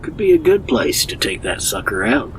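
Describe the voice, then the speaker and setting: A man's voice: medium pitch, big pitch swings, moderate pace, fairly bright tone, a little hiss or hum, low-quality recording. A man playing a grim, practical fighter.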